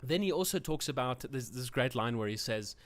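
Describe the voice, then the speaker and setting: A man's voice: mid-range voice, animated, fairly quick. Chatty South African man, rambling. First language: Afrikaans. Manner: rambling, chatty, frequent fillers and self-corrections. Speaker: channel host. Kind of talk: casual livestream talk.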